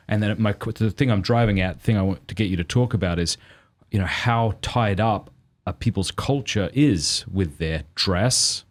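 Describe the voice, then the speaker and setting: A man with an Australian accent hosting a podcast, talking candidly and self-deprecatingly in casual conversation. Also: low voice. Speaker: podcast host.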